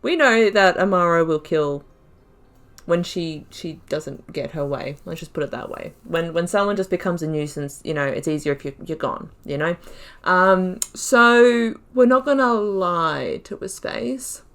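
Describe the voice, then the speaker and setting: A woman with a slightly low voice hosts a game playthrough. She talks informally and thinks aloud, with a wry touch as she weighs choices and reads options. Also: a little slow, fairly bright tone. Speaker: gaming video host. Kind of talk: casual playthrough commentary with story analysis.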